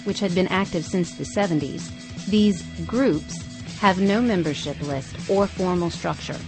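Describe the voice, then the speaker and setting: A woman's voice: fairly low pitch, rough recording. A woman hosting a podcast, reading scripted documentary narration. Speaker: podcast host. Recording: music bed behind the voice. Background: music.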